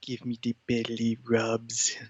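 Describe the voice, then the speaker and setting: A man voicing an imperious, scheming cat who is demanding and menacingly deadpan in a comedy podcast. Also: medium pitch, slow pace, husky, no room sound, dull audio. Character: imperious scheming male cat, rival of humans.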